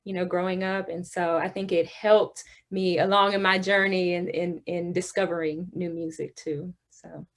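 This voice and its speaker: Young woman from texas, singer, chatty and laughing, lowish voice, lots of ums. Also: little pitch movement, moderate pace, hardly any pauses. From Houston area, Texas. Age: young adult. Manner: warm, talkative, laughs often, many ums. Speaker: singer, interview guest. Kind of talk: casual interview chat.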